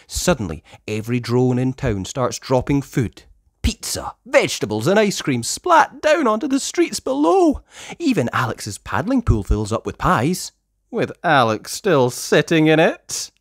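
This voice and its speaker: A person reading a children's story aloud in expressive narration. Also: medium pitch, measured pace, dry recording, clean studio sound. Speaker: storybook reader.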